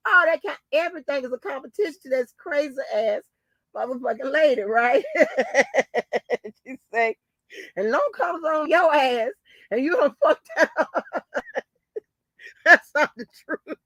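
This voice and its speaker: A woman's voice: high voice, expressive, a little slow, pauses often, fairly bright tone, dry recording, low-quality recording. A woman, hosting a casual gossip commentary, swearing freely, laughing often and calling listeners baby and honey. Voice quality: hoarse. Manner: cackling, profane, calls listeners baby and honey. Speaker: channel host.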